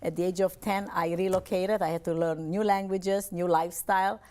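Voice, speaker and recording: A woman from Romania, speaking earnestly and cheerfully as a television interview guest. Her voice sits a little low, and she likes to repeat her phrases. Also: fairly even intonation, brisk, a little mellow. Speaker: guest, life coach.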